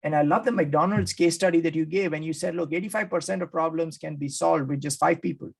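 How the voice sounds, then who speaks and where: A man's voice: a little high, steady tone, quick pace, creaky, slightly rough. A man hosting an interview, enthusiastically recapping his takeaways and acting out quoted speakers. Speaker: podcast host.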